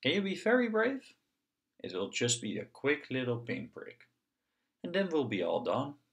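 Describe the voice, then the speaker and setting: A man voicing a friendly puppet doctor in a children's storybook, gentle and encouraging with a singsong delivery. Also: medium pitch, wildly swooping pitch, moderate pace, gravelly, voice pushed up, full character voice. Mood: reassuring.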